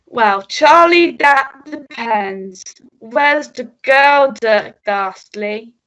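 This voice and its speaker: Woman as a police sergeant, high voice, slow deliberate commands, bossy, radio play. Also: expressive, a bit bright, dull audio, exaggerated voice. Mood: menacing. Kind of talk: melodramatic radio play acting.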